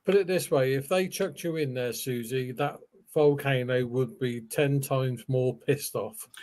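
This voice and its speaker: Medium-pitched casual live-stream panelist. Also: measured pace, a little mellow.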